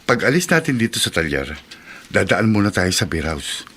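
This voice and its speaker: A man's voice: lowish voice, sing-song, normal speed, fairly bright tone, slight background noise. A Filipino actor playing a jovial, womanizing auto shop boss who cajoles politely in a radio drama. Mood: sly, cajoling. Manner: cajoling, polite to his elder. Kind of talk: Tagalog radio drama acting.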